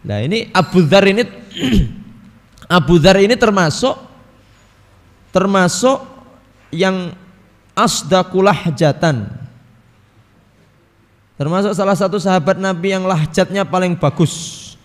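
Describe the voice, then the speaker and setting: A man with a Javanese accent giving a religious study lecture, reading Arabic text and explaining it in a relaxed, chatty way to his audience, often clearing his throat. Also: high-pitched, slow, pauses often, long reverb tail. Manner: mixes Arabic recitation with casual explanation, addresses audience as Bapak Ibu. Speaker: ustadz teaching a hadith book.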